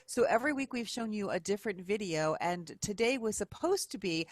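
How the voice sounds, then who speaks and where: A woman's voice: medium pitch, lively intonation, fairly quick, no room sound, studio quality. A middle-aged woman, a guest author, explaining things in an upbeat way during an interview. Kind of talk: interview talk.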